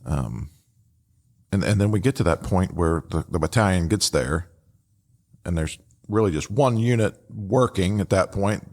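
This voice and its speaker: A man with a low voice speaks as a podcast host. He gives loose, unscripted commentary in a halting way, repeating words and adding casual asides. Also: animated, a little mellow.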